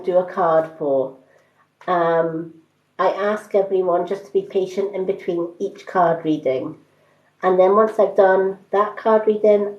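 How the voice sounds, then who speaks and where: A woman chatting casually to live-stream viewers as a psychic card reader, with a calm, soothing delivery. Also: mid-range voice. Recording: roomy, slightly dull livestream audio.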